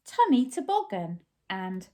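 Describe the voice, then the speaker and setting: A woman's voice: medium pitch, big pitch swings, measured pace, dark tone. A woman reading a picture book aloud expressively, putting simple questions and explanations to young children. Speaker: children's story reader.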